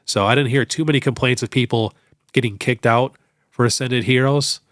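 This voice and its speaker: A young man with a stuffed-up nose from a cold, hosting a casual question-and-answer show in a relaxed, chatty way full of fillers. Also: medium pitch, normal speed, no echo, clean studio sound. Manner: relaxed, chatty, many fillers like "you know". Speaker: host. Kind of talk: casual Q&A on card collecting.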